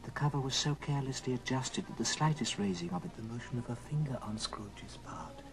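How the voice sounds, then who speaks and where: A man's voice: mid-range voice, a little fast, close to an everyday voice. A man narrating an old radio ghost drama as a grave storyteller, solemn and dramatic. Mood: solemn, eerie. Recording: old record with music underneath.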